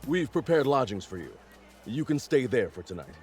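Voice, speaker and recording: An actor playing a weary, earnest army general in a video game, speaking formally and with measured care. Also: male voice, medium pitch, big pitch swings, darkish tone, lightly acted. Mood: weary, resolute. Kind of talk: dramatic video game voice acting. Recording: music behind the voice.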